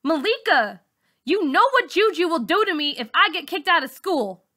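A woman's voice: very high voice, sing-song, normal speed, pushed and bright, voice pushed up, full character voice. A woman voicing a threatening, scornful teenage bully girl in an audiobook reading, with a taunting manner. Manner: menacing, taunting.

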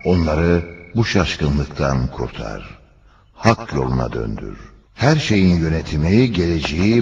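Casting: solemn preaching prophet for religious cartoon, lowish and expressive, measured gravity. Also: male voice, a little hiss or hum. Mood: pleading, reverent. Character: solemn devout prophet warning his people, also narrating. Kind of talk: dramatic religious cartoon voice acting. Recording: dull lo-fi cartoon soundtrack with music.

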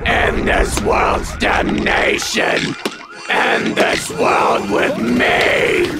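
Man acting shrieking, gravelly, deranged Dolores. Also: high-pitched, full character voice. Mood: desperate fury. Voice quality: gravelly, breaking screams. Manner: shrieking, frantic, pleading. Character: crazed abandoned female creature Dolores, screaming in grief. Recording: screams under loud gunfire and noise.

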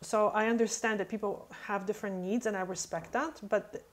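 A woman's voice: medium pitch, fairly even intonation, a little fast. Woman in her thirties, non-native English, nail technician, chatty, webcam talk. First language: not English. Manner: chatty, acts out client dialogue, many fillers. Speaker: nail technician answering questions. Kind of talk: casual explanatory talk. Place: indoor room, webcam over screen.

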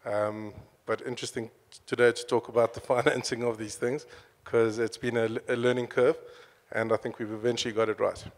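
A man's voice: medium pitch, flat delivery, a little fast. A businesslike man introducing himself as a panelist at a conference, speaking into a handheld mic. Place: large conference hall. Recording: long hall reverb.

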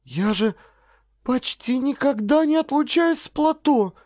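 A man voicing a flustered, defensive young woman with halting speech in an audiobook. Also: extremely high pitch, expressive, darkish tone, no room sound, clean studio sound, voice pushed up. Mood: annoyed, defensive. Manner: halting, stammering protests. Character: young woman Valya, tearful, defensive polar weather observer.

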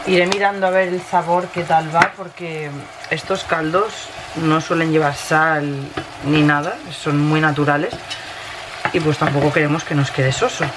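A woman in her thirties with a Spain Spanish accent, slightly hoarse from a cold, casually narrating a recipe as she cooks in her home kitchen. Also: deep voice, steady tone, normal speed. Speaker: home cooking vlogger. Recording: noisy kitchen with sizzling pan. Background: frying and stirring sounds.

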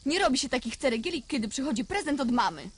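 A woman's voice: high-pitched, animated, quick pace, husky. A woman playing a firm, fed-up, scolding mother in a radio play. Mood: annoyed. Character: fed-up, sharp-tongued mother and housewife. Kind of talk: acted radio play dialogue.

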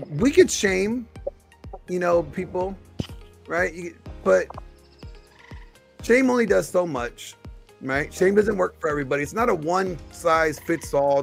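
A man in his forties with a gravelly, creaky voice pitched a little high. He talks haltingly on a video call, circling back and restarting his sentences. Faint music plays behind him. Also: animated. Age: 40s. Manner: halting, full of fillers and restarts. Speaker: podcast host. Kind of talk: casual debate on a video call. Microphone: studio mic on a video call.

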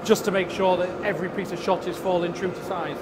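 A man showing a visitor around a factory, explaining the process in a matter-of-fact way with some halting restarts. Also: sits high, level tone. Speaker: factory guide. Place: large factory floor. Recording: noisy factory floor.

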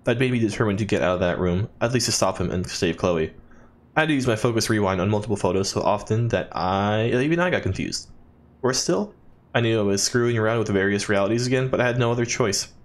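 A man's voice: fairly low pitch, quick pace. A man doing a let's play, reading in-game journal text aloud expressively and dropping in jokey asides. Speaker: let's player.

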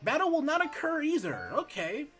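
A man hosting a let's play, reading game text aloud and chatting casually while his voice is going hoarse. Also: very high-pitched, normal speed, soft background music. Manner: reads on-screen text aloud, relaxed asides. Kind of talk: casual gameplay commentary.

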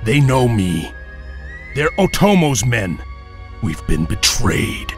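An actor playing a wary, honorable samurai in a fantasy game, serious and grim in manner. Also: male voice, mid-range voice, big pitch swings, takes it slowly. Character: wary, honorable male samurai warrior of Serpent clan. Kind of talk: video game cutscene acting. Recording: music behind the voice.

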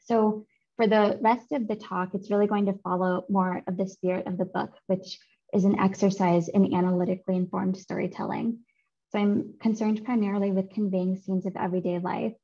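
A woman in her thirties giving a seminar talk on her research over a video call, in a clear, measured academic delivery. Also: mid-range voice, flat delivery, mellow. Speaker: seminar speaker, author presenting research. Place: home room. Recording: muffled video call audio.

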